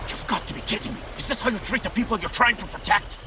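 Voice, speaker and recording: Actor as a high-voiced, desperate captive middleman. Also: fast, recorded from a distance, background noise, natural voice. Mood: indignant. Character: desperate, evasive captive male arms middleman.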